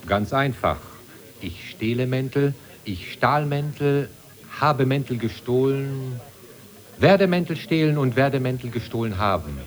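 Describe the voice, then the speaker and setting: A man acting a self-satisfied thief in a literary radio play. He declaims deliberately, repeating and listing, with lively swings of pitch around a middle-range voice. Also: lightly acted. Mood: self-assured, savouring. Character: self-satisfied man confessing coat theft, poetic and enumerating.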